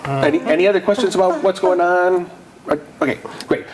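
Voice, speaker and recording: A man narrating as game master of a tabletop role-playing game, often pausing and searching for words. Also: sits high, over a noisy crowd, poor audio. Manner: halting, searching for words with many fillers.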